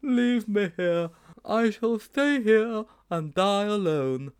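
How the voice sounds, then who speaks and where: Man voicing a high, wavering timid little schoolboy. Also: big pitch swings, darkish tone, full character voice. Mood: exhausted, melodramatic. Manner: hesitant, drawn-out, fretful delivery. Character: small, timid, dithering, anxious schoolboy.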